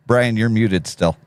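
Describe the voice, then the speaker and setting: A man with a deep radio voice hosting a casual live stream, reading chat messages aloud and chatting easily. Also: no room sound. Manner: laid-back, reads chat messages aloud, laughs often.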